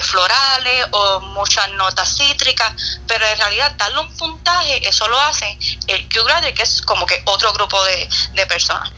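A young Puerto Rican woman chatting casually as an interview guest, explaining things in an expressive, talkative way. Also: mid-range voice, muffled recording. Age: young adult. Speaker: guest, barista and coffee YouTuber.

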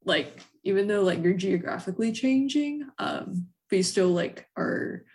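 A young woman hosting a casual interview, talking in a halting, conversational way peppered with "like". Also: medium pitch, expressive, darkish tone. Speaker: podcast host, interviewer.

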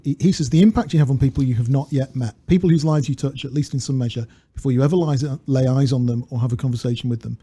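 Husky, dark-voiced man. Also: mid-range voice, fast talker, few pauses. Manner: reflective, storytelling, laughs easily. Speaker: podcast co-host. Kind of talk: casual chat, reading quotes. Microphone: studio mic with pop filter.

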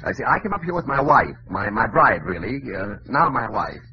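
Flustered, evasive radio-comedy husband, male actor, stammering, very animated pitch, dated muffled sound. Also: fairly quick, crowd noise, natural voice. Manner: stammering, dithering. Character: flustered evasive bridegroom caught between two wives. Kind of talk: old radio comedy acting. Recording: dull old radio recording with audience noise.

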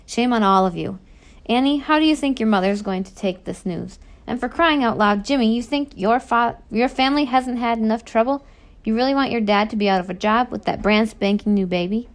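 A woman voicing a stern, angry middle-aged male prison warden in a storybook, lecturing children forcefully. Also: mid-range voice, fairly quick, close to an everyday voice. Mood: reproachful.

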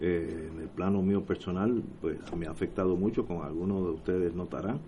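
A man's voice: low-pitched, steady tone, brisk, dark tone, a little hiss or hum. A man with a Puerto Rican accent hosting a political talk show, speaking emphatically and passionately and repeating phrases for emphasis. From Puerto Rico. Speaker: radio talk show host. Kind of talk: political commentary.

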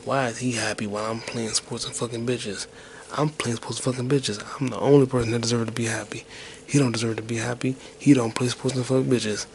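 A man in his twenties rambling alone on his podcast in a detached, low-energy, half-whispered way. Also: steady tone, fairly quick, slight background noise. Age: 20s. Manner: low-key, detached, mumbling. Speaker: podcast host. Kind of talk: rambling solo podcast monologue.

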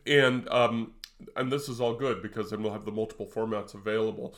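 A man's voice: fairly low pitch, level tone, brisk, fairly bright tone. A man co-hosting a podcast, talking casually with frequent hesitations and restarts. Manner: rambling, hesitant, many fillers and restarts. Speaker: podcast co-host. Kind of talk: casual conversational podcast chat.

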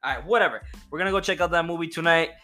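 A Dominican-born man co-hosting a casual podcast, loud, profane and joking, laughing often. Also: on the high side, very fast, faint music behind. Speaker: podcast co-host.

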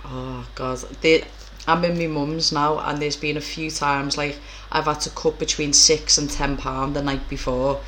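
A woman's voice: low-pitched, level tone, a little slow, fairly bright tone, slight background noise. A young woman, interviewing a guest in a blunt, chatty, casual way with some swearing. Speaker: interviewer, professional boxer. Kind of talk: casual chat.

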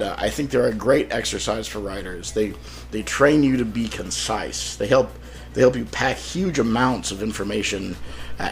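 Rough-voiced, creaky man hosting a podcast. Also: medium pitch. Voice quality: slightly rough, throat-clearing. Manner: wry, self-deprecating joking. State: sick, rough throat. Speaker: podcast host and author. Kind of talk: casual solo podcast monologue.

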